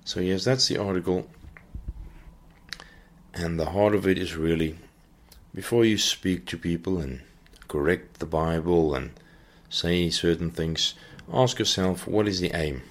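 A man with a very low voice hosts a Bible teaching podcast. He talks off the cuff in an earnest way, often pausing to find the next word. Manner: earnest, searching for words as he goes. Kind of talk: unscripted teaching talk.

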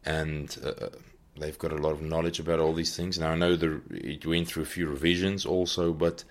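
A man hosting a podcast, giving an unscripted Bible teaching talk and often pausing to search for his words. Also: rumbling low, little pitch movement. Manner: earnest, searching for words as he goes.

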